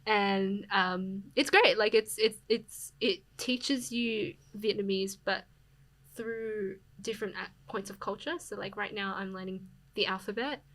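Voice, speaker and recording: A young Australian woman, a poet, talking reflectively as a podcast guest, with plenty of fillers and soft laughs. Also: medium pitch, fairly even intonation, unhurried, no echo. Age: young adult. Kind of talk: casual interview conversation.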